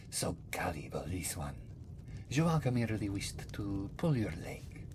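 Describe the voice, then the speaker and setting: A man voicing a mysterious, wry old cat-man, rambling in a breathy half-whisper for an ASMR fantasy roleplay. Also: on the low side, very animated, background noise. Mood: teasing, amused. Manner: rambling, third-person speech, theatrical pauses. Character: mysterious old male Khajiit cat-man wanderer, wry, world-weary, teasing. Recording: music behind the voice. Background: prominent background music.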